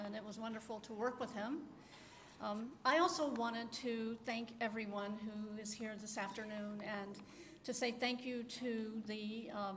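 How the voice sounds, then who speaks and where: An older woman, a retired library director with a soft voice, giving a gracious thank-you speech at a live event. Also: on the high side, slight background noise. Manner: gracious, grateful. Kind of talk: ceremony speech. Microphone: PA microphone.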